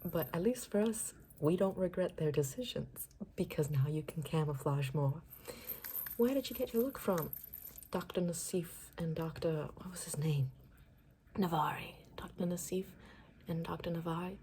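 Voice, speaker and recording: A woman's voice: fairly low pitch, moderate pace, warm tone, a little hiss or hum. A woman doing an ASMR roleplay as a makeover stylist, murmuring repetitive, reassuring step-by-step instructions in a personal-attention style.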